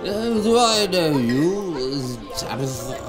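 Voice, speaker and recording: Man playing a pompous actor, loud music behind. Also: fairly high pitch, big pitch swings, slow. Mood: irritated, haughty. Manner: haughty, dismissive, sneering. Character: pompous, rude, self-important male film and TV actor. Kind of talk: amateur radio play acting. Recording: music playing loudly over the voice.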